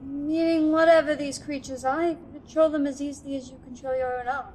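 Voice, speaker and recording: A woman's voice: high-pitched, fairly even intonation, fairly quick, darkish tone, slight background noise, close to an everyday voice, rough recording. A woman playing a nervous, eager, scholarly archive curator in a sci-fi audio drama, stammering and deferential. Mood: scholarly excitement. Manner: nervous, stammering, deferential, bossy to her assistant. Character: flustered, eager scholarly female archive curator serving a Sith. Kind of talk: fan audio drama acting.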